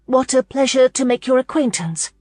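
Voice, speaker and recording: A woman's voice: a little high, moderate pace, dry recording, clean studio sound, voice pushed up, close to an everyday voice. A woman voicing a flustered, polite young countess in an audiobook novel, eager to please. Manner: stammering, breathless politeness.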